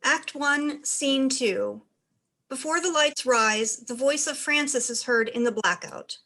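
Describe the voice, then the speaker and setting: A woman's voice: high voice, takes it slowly, sharp tone. A woman reading out stage directions as the narrator of a dramatized play reading.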